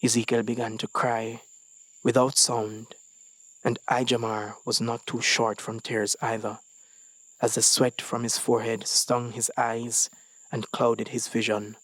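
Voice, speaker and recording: Slow, measured male story narrator. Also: medium pitch, little pitch movement, a bit bright, no echo, rough recording. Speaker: story reader. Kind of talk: scripted dramatic narration of a short story.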